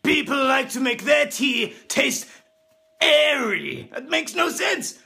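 Guy putting on a snooty rich toff, loud and rude, slow drawn-out talk, lively swoops. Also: very high voice, gravelly, voice pushed up, heavily acted. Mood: pompous. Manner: pompous, shouty, crude. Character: pompous rich British man with mustache and monocle. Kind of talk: comedy sketch video.

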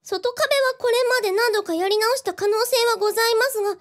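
Very high-pitched, quaintly polite little girl. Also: fast. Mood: eager, polite. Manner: archaic, ornate politeness. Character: eager genius girl with quaint, overly polite speech. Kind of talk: radio drama acting.

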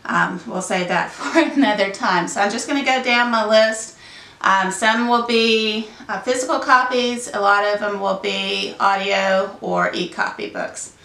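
A woman in her thirties talks through her month of reading while fighting a sinus cold. Her voice is gravelly with a bright edge, and she wanders and corrects herself as she goes. The sound is roomy, picked up from a camera a little way off. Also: medium pitch, a little slow. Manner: rambling, many ums, self-correcting. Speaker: book vlogger. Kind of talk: casual book wrap-up chat. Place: home room with bookshelves behind. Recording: roomy echo, mic a bit distant.

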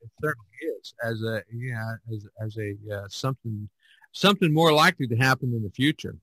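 A man talks casually as a podcast host. His voice is bright and medium in pitch, recorded dry. He feels his way through sentences, often repeating a word. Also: lively intonation, fairly quick, dry recording, low-quality recording.

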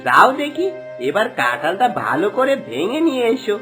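A woman's voice: medium pitch, sing-song, moderate pace, over music, close to an everyday voice. A woman voicing a greedy, callous village husband in a cartoon, boastful and dismissive. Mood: smug, irritated.

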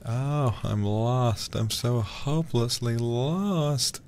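A man livestreaming a game in a soft, casual ASMR style, murmuring to himself and often slipping into whispers on a close mic. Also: mid-range voice, extremely slow, a little hiss or hum. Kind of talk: soft, casual ASMR gaming stream chat.

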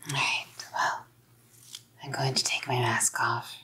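A young woman playing an obsessive, menacingly sweet psycho girlfriend in a whispered ASMR roleplay, cooing and softly threatening. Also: a bass voice, flat delivery, measured pace, slightly rough, exaggerated voice. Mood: affectionate. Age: young adult. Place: small dim room indoors. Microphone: close ASMR mic.

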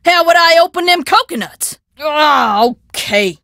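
A woman's voice: high voice, lively intonation, unhurried, bright, forceful tone, no room sound, clean studio sound, full character voice. An actress voicing a folksy, sassy woman who keeps a candy shop in a video game, teasing and scolding in a rural American accent. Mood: brash, folksy. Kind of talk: video game character acting.

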